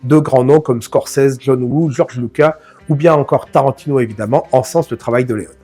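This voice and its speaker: A man's voice: medium pitch, fairly quick, dark tone, slightly rough, faint music behind. A man hosting a film channel, talking through a classic film in a casual, informal way, joking at his own expense. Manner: chatty, addresses viewer informally, self-mocking asides. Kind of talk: casual film analysis to camera.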